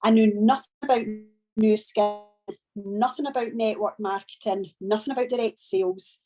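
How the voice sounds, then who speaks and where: A woman from Scotland with a strong Scottish accent, giving a training talk on a video call and telling her story slowly and deliberately. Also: mid-range voice, steady tone, warm tone, rough recording. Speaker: business trainer.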